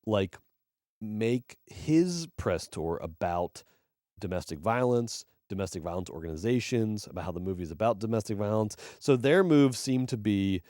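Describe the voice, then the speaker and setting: A man co-hosting a movie podcast, reviewing films in casual chat, rambling and swearing with animated emphasis. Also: fairly low pitch, normal speed, dry recording, clean studio sound. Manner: rambling and profane. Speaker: podcast co-host.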